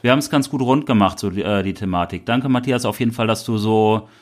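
A man's voice: lowish voice, a little fast. A man co-hosting a podcast, talking casually and rambling with enthusiasm. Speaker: podcast co-host.

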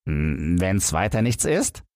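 A man's voice: deep voice, sing-song, slow pace, a little mellow, no echo, clean studio sound, full character voice. A man voicing a sly, self-assured village sexton in a fairy-tale audiobook. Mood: confident.

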